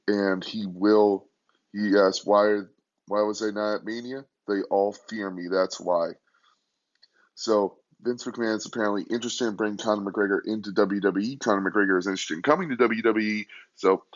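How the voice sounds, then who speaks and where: A hoarse young man hosting a casual solo podcast from a hotel room, talking quietly and rambling. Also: fairly low pitch, dull audio. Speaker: podcast host.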